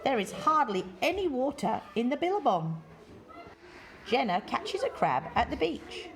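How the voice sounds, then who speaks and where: A woman reading a children's picture book aloud in deliberate, measured phrases with expressive emphasis. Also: high voice. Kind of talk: read-aloud children's story.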